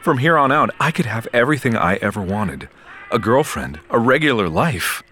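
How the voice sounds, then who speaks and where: A man's voice: medium pitch, very animated, normal speed, bright, forceful tone, a little hiss or hum. A man acting as a frank young narrator who tells his own story casually in an audio drama, with some swearing. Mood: frank, reflective. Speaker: narrator and main character.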